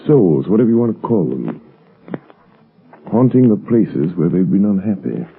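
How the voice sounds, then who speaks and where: Casting: calm, suave psychologist-sleuth, very low and husky, measured, on tinny vintage radio. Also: male voice, full character voice. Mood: calm, curious. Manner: measured, urbane, pointed. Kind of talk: old-time radio drama acting. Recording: muffled, phone-like vintage radio recording.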